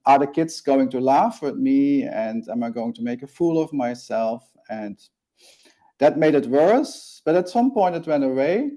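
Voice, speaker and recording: A man in his forties from Belgium, a native Dutch speaker, talks through his story in an interview. His voice is mellow and warm, at a middling pitch. He speaks earnestly and haltingly, often restarting his sentences. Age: 40s. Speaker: guest.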